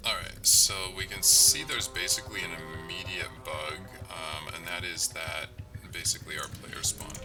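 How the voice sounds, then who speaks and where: A man giving casual commentary as he codes on a livestream, thinking aloud in halting phrases. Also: deep voice, over music. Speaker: game developer, livestream host. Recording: clearly noisy, distant mic, keyboard clicking. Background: typing, mouse clicks, steady noise.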